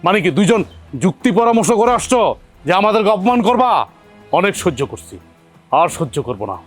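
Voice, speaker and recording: An actor voices a young husband who shouts in indignation at his wife's family. His voice is slightly high and breaks into angry outbursts. Faint music plays behind him. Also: sing-song, a little fast. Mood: furious.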